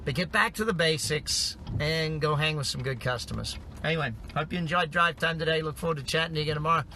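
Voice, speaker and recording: A man's voice: fairly high pitch, fast talker, pushed and bright. A middle-aged man from Australia, a business coach giving upbeat motivational tips in numbered points while driving. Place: in a car on the road. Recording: car and road noise behind.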